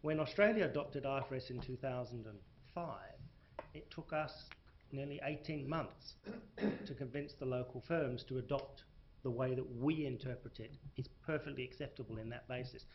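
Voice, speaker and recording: A man's voice: mid-range voice, normal speed. A man from South Africa makes his case on a panel, emphatic and full of restarts. The recording is muffled and lo-fi, with a faint hiss underneath. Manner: emphatic, restarts, hesitant fillers. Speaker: panel speaker, corporate reporting. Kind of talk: panel discussion.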